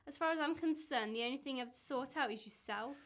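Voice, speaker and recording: A woman's voice: high-pitched, fast, fairly bright tone. A woman in an amateur radio play acting a suspicious, exasperated wife, accusing and sarcastic.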